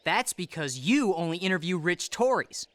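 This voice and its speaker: A woman voicing a confident, eager teenage boy, a young colonial reporter in an animated series, with a brisk, self-assured manner. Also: lowish voice, dry recording, close to an everyday voice.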